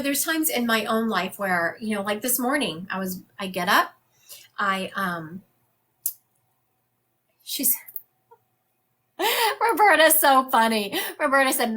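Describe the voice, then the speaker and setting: Woman, bright and crisp voice, psychic medium, casual live reading, chatty. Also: a little high, expressive, measured pace, pauses often. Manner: chatty and conversational, often laughing. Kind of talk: live-streamed reading for viewers.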